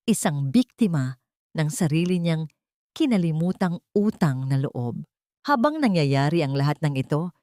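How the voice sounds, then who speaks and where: A woman's voice: lowish voice, a little mellow, dry recording, clean studio sound. A woman reading a scripted dramatic story as narrator, in a solemn, melodramatic manner.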